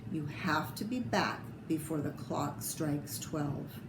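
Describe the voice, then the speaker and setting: A woman's voice: low-pitched, slow pace. A woman voicing a bossy, showy cow godmother from a storybook, with theatrical declarations. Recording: echoey room with clear background noise.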